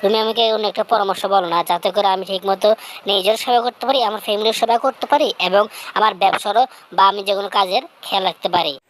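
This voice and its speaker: A woman's voice: medium pitch, steady tone, quick pace, a little hiss or hum, close to an everyday voice. A woman voicing an aggrieved, worn-out poor mother bird in a cartoon storybook, plaintive and complaining. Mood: pleading. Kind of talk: cartoon storybook character dialogue.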